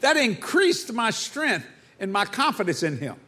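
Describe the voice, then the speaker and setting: Bright high-voiced preacher man. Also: sing-song, unhurried. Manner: call-and-response preaching, rhetorical questions to the congregation. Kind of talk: sermon. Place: church.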